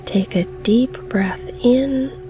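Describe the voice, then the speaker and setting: A woman guides a meditation at a slow, unhurried pace. Her voice is mellow, soft and breathy, with soothing phrasing and long pauses. Quiet music plays faintly behind her. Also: on the high side, rough recording. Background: calm background music.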